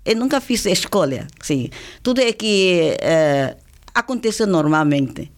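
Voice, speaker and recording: An older woman, a native Chinese speaker, speaks Portuguese with Chinese and faint Brazilian accent traces, talking in a halting way with many restarts and tag questions as an interviewee. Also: on the low side, expressive. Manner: halting, with frequent restarts, "né?" tags and laughter. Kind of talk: conversational interview.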